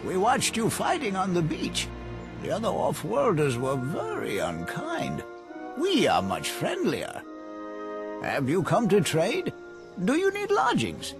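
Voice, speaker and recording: A man voicing an eerily friendly, curious alien villager in a video game, polite and drawn-out. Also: high-pitched, wildly swooping pitch, exaggerated voice. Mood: eerily welcoming. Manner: polite, oddly fascinated, drawn-out. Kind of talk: video game cutscene acting. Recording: music behind the voice.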